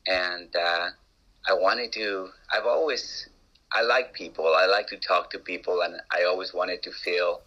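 Male interview guest, Peruvian accent, deep and bright-toned, hesitant storyteller. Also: fairly even intonation, unhurried, muffled recording. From Peru. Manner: hesitant, many restarts and uh fillers.

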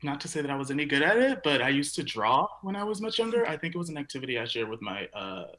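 Bright, lively young man rambling casually. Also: on the high side, fast. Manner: casual, heavy use of like, self-deprecating. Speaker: dancer, guest. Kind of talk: conversational interview answers.

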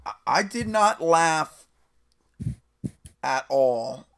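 A man's voice: fairly high pitch, animated, extremely slow, creaky, fairly bright tone, clean tone. A man co-hosting a casual film chat, giving blunt, dry criticism. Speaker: podcast co-host reviewing a film.

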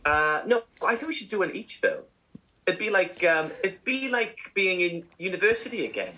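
A man's voice: high-pitched, big pitch swings, moderate pace, pushed and bright, a little hiss or hum, low-quality recording. A man co-hosting a casual radio show, playful and laughing often. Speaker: co-host.

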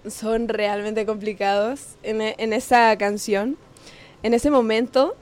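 A woman's voice: a little high, steady tone, slow pace. A young woman from Ecuador, a guest in a casual interview, talking hesitantly with many fillers and easy laughs. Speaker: guest, singer.

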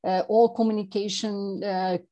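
A woman from Serbia with a Serbian accent, speaking English as a second language as an interview guest, halting with many fillers and restarts. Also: mid-range voice, flat delivery, dark tone. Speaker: interview guest, chapter representative. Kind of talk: non-native conversational English interview.

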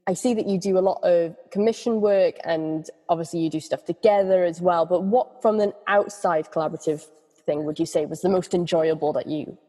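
A woman's voice: lowish voice, steady tone, brisk, mellow, in a large hall. A woman hosting an interview, easygoing and laughing through her links to the next segment. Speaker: host.

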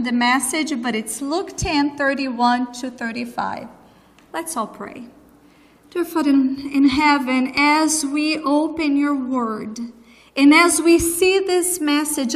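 A woman with a Brazilian Portuguese accent preaches as a guest, earnest and unhurried, often pausing on fillers. Her voice sits high, and her words come slowly. A long reverb trails after each phrase. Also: fairly bright tone. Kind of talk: sermon.

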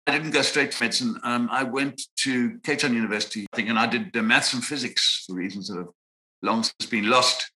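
A man in his sixties from Zimbabwe, a psychiatrist answering interview questions in a measured, reflective way with frequent pauses and restarts. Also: mid-range voice, pushed and bright, low-quality recording. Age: 60s. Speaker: guest psychiatrist.

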